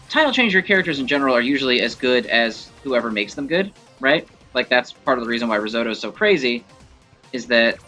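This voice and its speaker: Bright-voiced man casually commentating on a game. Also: mid-range voice. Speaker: gameplay showcase host.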